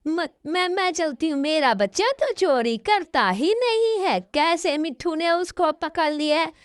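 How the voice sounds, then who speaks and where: Woman as a frantic very high mother crow. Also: animated, unhurried, dry recording, studio quality. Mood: wailing. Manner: wailing, indignant. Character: frantic doting mother crow defending her son.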